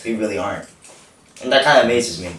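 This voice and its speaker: A teenage male podcast host, a native Korean speaker, telling stories in a casual, animated way with lots of "like" fillers. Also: medium pitch, fast. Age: teens. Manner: animated storytelling, frequent "like" fillers. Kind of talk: casual chat. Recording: echoey room, distant mic.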